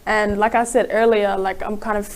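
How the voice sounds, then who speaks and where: A woman's voice: mid-range voice, flat delivery, moderate pace. A young woman from South Sudan whose first language is Dinka, speaking casually on a panel with many "like" fillers. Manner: chatty, heavy use of "like" fillers.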